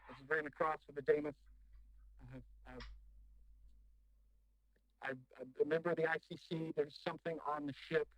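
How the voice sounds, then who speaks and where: A shaken corporate agent played by a man pleads for rescue in a game's distress message. The voice is fairly high, halting and desperate, very dry and close, through a muffled low-fidelity recording. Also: fairly even intonation, no echo, natural voice. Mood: shaken, subdued. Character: desperate, shaken corporate agent stranded after crash landing. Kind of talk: recorded distress message in a sci-fi roleplaying game.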